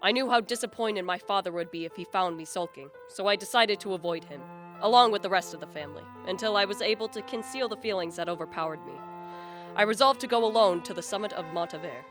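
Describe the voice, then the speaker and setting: A woman's voice: mid-range voice, fast talker, fairly bright tone, soft background music, close to an everyday voice. A woman acting a haughty, guilt-ridden male scientist in a radio play, with formal, declamatory literary speech. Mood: brooding. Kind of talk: dramatic radio play acting with first-person narration.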